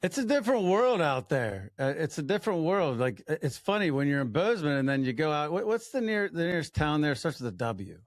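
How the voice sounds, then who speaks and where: A middle-aged man co-hosting a podcast, telling stories in a chatty, halting way with restarts. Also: on the high side, big pitch swings, no room sound, clean studio sound. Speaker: podcast co-host. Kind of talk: casual chat.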